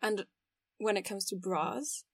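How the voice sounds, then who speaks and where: A woman's voice: medium pitch, measured pace, no echo, studio quality. A young woman co-hosting a podcast, chatty and laughing as she talks in a casual conversation. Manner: chatty, laughs while talking. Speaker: podcast co-host. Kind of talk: casual chat.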